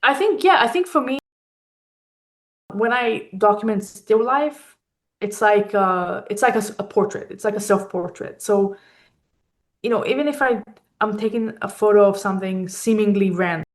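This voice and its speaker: A woman from Israel talks as a guest in a reflective interview. Her voice sits at a middle pitch, and she feels her way through sentences haltingly, searching for the right words. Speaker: interview guest, fine art photographer.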